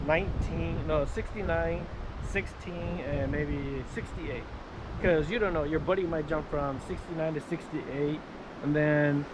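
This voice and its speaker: Male vlogger, higher-than-average voice, informal off-the-cuff demo delivery, windy and noisy outdoor action-camera sound. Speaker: vlogger demonstrating marine VHF radios. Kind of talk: casual, improvised product demonstration. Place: outdoors by the water. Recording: windy outdoor recording with water noise.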